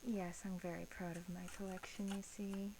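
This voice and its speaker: A woman playing a playful, menacing vampire captor in a soft-spoken ASMR roleplay, often dropping to a whisper and teasing with sadistic relish. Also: medium pitch, little pitch movement, background noise, natural voice. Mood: playful, sinister. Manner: slow, teasing, with drawn-out pauses.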